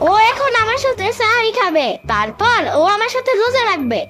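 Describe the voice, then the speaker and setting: Super high squeaky bossy little bangladeshi boy, cartoon comedy, loud music behind. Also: big pitch swings, brisk. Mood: insistent. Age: child figure. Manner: demanding, indignant. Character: bossy, cheeky little boy devoted to his dog.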